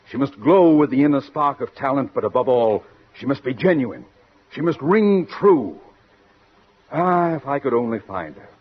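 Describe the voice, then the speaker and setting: An actor playing a haughty, world-weary publicity counsel in a 1940s radio comedy, speaking in florid, formal phrases. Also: male voice, mid-range voice, slightly rough. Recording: dull old radio recording.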